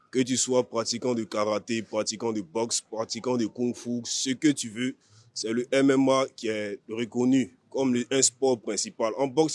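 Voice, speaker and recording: A man's voice: medium pitch, a little mellow, no echo. A man with an Ivorian accent, a fighter talking confidently and boastfully in an informal interview. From Ivory Coast. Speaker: MMA fighter being interviewed.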